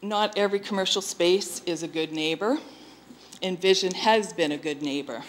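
A woman's voice: on the low side, moderate pace, poor audio. A woman gives a firm, plainspoken objection as a resident at a council hearing.

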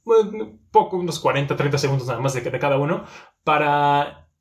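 A young man with a somewhat high-pitched voice talks straight to the camera in a small indoor room. He speaks casually and reflectively, often filling gaps and restarting sentences as he searches for words. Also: expressive, brisk. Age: young adult. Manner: thinking aloud with many fillers and restarts. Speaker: language teacher and vlogger. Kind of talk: casual vlog talk to camera.

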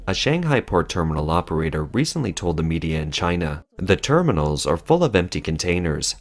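A man narrating a scripted news report in a steady, informative way. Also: low voice.

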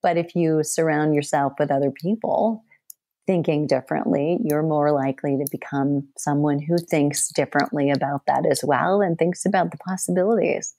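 A middle-aged woman hosting a podcast interview, slightly hoarse as she loses her voice, talking straight to her listeners and telling stories with animation. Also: low-pitched, moderate pace, warm tone, no room sound. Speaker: podcast host. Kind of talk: conversational interview.

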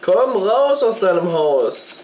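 A man's voice: high voice, big pitch swings, slow pace, a little mellow, a little hiss or hum. A man with a slight cold and sore throat, chatting and joking as he comments on his own gameplay. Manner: chatty, joking, talks to the game. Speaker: let's play gamer. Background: game music.

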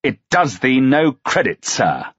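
A man's voice: mid-range voice, very animated, measured pace, fairly bright tone, husky, no room sound, muffled recording, voice pushed up, heavily acted. A man voicing a proud, stern medieval duke who speaks in a commanding, old-fashioned way. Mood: indignant.

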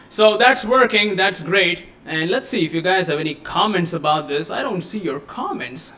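A man hosting a casual live-stream tech tutorial at his home desk on a studio microphone, chatty and given to excited exclamations. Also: high-pitched. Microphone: USB studio microphone.

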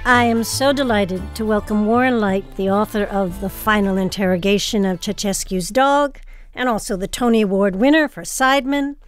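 A woman's voice: medium pitch, normal speed, dry recording, soft background music. A woman hosting, presenting from a script and interviewing guests. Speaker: host.

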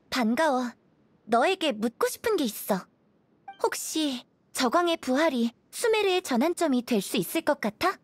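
Guarded young village woman, measured delivery. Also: high-pitched. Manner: measured, careful questioning. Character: guarded, soft-spoken young village woman probing strangers. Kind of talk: Korean game dialogue voice acting.

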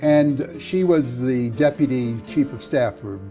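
A man in his sixties giving deliberate, matter-of-fact political commentary in a chat. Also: medium pitch, mellow, faint music behind. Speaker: interview guest. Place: study with bookshelves.

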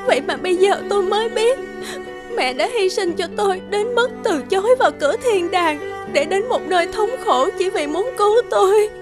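An actress playing an anguished, emotional woman who pleads and grieves in an animated drama. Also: very high voice, normal speed, music in the background. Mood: grieving.

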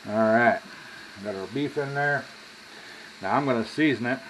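Man in his sixties, American accent, medium pitch, folksy, casual cooking host, home kitchen with background noise. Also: fairly bright tone. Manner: folksy, drawn-out asides with y'all. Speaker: home cook hosting a cooking video. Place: home kitchen, indoors at the stove. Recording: clearly noisy home kitchen recording.

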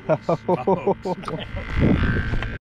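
A man narrating a fishing trip from a small boat, his talk slow and drawn out while he hypes each catch with easy laughter. Wind and water wash clearly behind him on the action camera's mic. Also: a little high, muffled recording. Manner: excited, laughs often, hypes every catch. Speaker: fishing guide filming his charter. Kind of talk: casual on-camera fishing commentary. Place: small boat on open shallow flats, outdoors. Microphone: head-mounted action camera. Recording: wind and water noise outdoors.

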